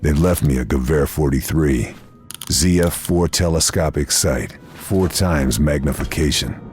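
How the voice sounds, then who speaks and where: An actor playing a hardened American wartime sniper officer, narrating a war game in a terse, world-weary, laconic manner. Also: male voice, extremely low pitch, measured pace, darkish tone, soft background music. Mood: terse, resolute. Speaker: narrator and protagonist.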